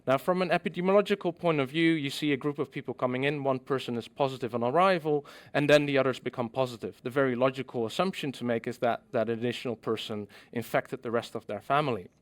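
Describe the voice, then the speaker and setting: A middle-aged man from the Netherlands giving a scientific conference presentation, measured and explanatory with frequent ums. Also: mid-range voice.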